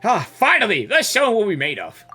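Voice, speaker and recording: Excitable gamer reacting live, bright lively voice, repeats stuff, faint music behind. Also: mid-range voice, brisk, slightly rough. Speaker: gaming streamer. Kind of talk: casual live game reaction commentary.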